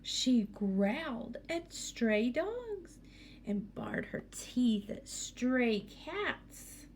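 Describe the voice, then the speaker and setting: A woman's voice: on the high side, very animated, very slow, a little mellow, slight background noise. A woman teacher reading a picture book aloud to students, expressively, with asides and questions for young listeners.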